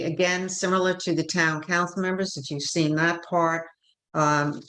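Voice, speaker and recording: Low-voiced woman moderating a forum. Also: fairly bright tone, low-quality recording. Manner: chatty, full of fillers and self-corrections. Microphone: video call.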